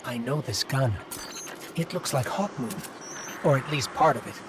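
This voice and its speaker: An actor voicing a small, watchful robot companion in a video game, earnest and inquisitive. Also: male voice, mid-range voice, animated, moderate pace, noisy background, natural voice. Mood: awed, earnest. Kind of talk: dramatic video game dialogue.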